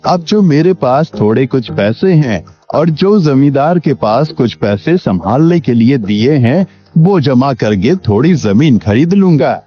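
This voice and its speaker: An honest, trusting village worker in a cartoon acted by a man, with a mid-pitched voice and a polite, deferential way of talking. The recording is a little dull, with soft music underneath. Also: animated, unhurried, close to an everyday voice. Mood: thoughtful, hopeful. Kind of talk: Hindi cartoon moral story dub. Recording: dull lo-fi audio with background music.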